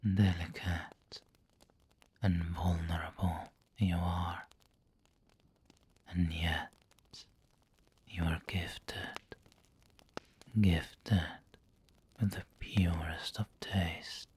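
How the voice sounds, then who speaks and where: An aristocratic vampire lord, acted by a man, murmurs in a low, husky whisper for an ASMR roleplay. He is very slow and deliberate, with formal, snobbish refinement and a possessive, doting tenderness. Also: flat delivery, a little mellow, exaggerated voice. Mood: tender, possessive. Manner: slow, deliberate, formal, pausing mid-phrase. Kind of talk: ASMR roleplay, intimate scripted monologue.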